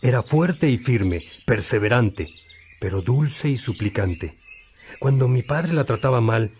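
A man playing a priest fondly and reflectively recalling his parents in a radio drama, speaking in a measured way. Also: medium pitch, expressive, a little mellow, close to an everyday voice. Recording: faint noise, birdsong ambience. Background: birdsong.

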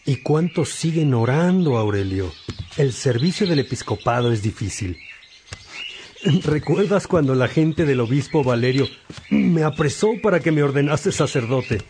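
An actor playing a patient, devout bishop in a radio drama, speaking in a calm, measured and instructive way. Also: male voice, mid-range voice, a little hiss or hum, close to an everyday voice. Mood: earnest.

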